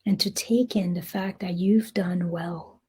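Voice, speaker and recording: Slow, breathy woman guiding a meditation. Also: fairly low pitch, fairly even intonation. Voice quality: soft, breathy. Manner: gentle, deliberate, with long pauses. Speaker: meditation guide. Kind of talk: guided meditation, slow soothing narration.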